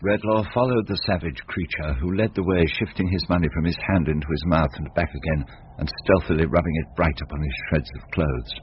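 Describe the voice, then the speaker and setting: A man narrating a scripted dramatic story in a grave, measured way. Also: deep voice. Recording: muffled noisy old radio recording.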